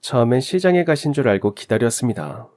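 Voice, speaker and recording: A man's voice: mid-range voice, big pitch swings, fast talker, a little mellow, faint music behind. A man reading a scripted first-person story in the style of a radio drama. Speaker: narrator of a story channel.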